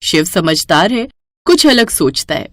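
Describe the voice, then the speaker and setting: A woman's voice: lowish voice, big pitch swings, measured pace, no room sound. A woman reading a scripted moral story as the narrator.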